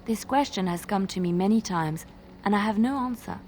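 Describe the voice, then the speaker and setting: A woman's voice: medium pitch, brisk, a little mellow, slight background noise, natural voice. An actress playing a devout, pensive young female adventurer in a fantasy video game, speaking earnestly and reflectively. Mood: pensive, reverent.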